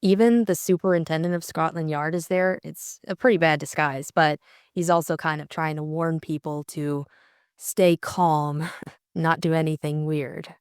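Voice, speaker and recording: A woman's voice: low-pitched, fairly even intonation, normal speed, clear, resonant tone, dry recording, studio quality. A young adult woman co-hosting an audiobook, reading narration and casually discussing the book.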